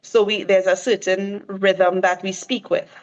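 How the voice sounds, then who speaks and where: A woman's voice: medium pitch, little pitch movement, a little slow. Trinidadian woman guest, mid-range voice, eager explainer, muffled call audio. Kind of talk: casual conversation.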